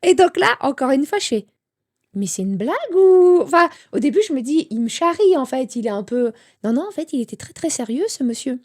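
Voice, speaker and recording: A woman's voice: high voice, brisk. A woman in her thirties, a guest telling a personal story candidly, acting out the lines of people she quotes. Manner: animated storytelling with quoted dialogue. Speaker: interview guest.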